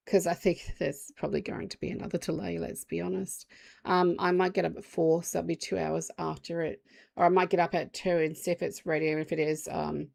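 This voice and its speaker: A woman live streamer with the flu, hoarse, chatting casually and rambling to her viewers. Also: fairly low pitch, a little mellow.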